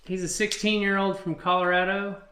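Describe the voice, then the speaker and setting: A man's voice: sits high, level tone, moderate pace, bright, forceful tone. Man, American accent, middle-aged, folksy casual chat, roomy echoing sound. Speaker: co-host, father helping present a family channel. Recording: echoey, roomy home recording.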